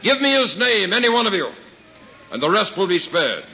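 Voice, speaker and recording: A man's voice: sits high, very animated, normal speed, pushed and bright, exaggerated voice. An actor playing a harsh Roman officer in a 1950s radio drama, commanding and contemptuous as he declaims. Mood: menacing, contemptuous. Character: harsh Roman officer threatening a marketplace crowd. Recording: muffled old radio, long hall reverb, crowd.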